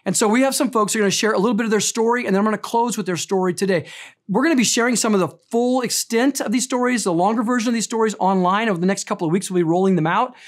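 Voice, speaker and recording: A man in his fifties preaching a sermon, telling a personal story in an earnest way and slowing down for emphasis. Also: sits high. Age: 50s. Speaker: pastor.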